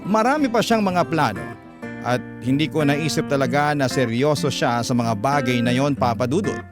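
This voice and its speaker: A man's voice: medium pitch, lively intonation, normal speed, close to an everyday voice. A man with a Filipino accent reading a troubled mature woman's love confession as earnest radio-drama narration. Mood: anxious, confiding. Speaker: radio storyteller. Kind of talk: first-person letter narration, classic radio drama. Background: guitar music.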